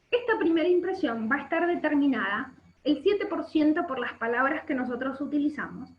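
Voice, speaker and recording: High-voiced Argentine woman teaching online. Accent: Argentine Spanish. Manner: explanatory, with frequent check-in questions. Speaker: workshop instructor. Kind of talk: instructional webinar talk. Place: indoor room by a window. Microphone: webcam video call. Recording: muffled, echoey video-call audio.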